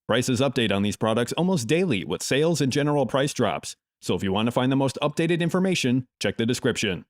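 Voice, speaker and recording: A man reading scripted product review narration in a measured, polished promotional way. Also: medium pitch, expressive, dry recording, studio quality. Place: close, dry voice-over recording.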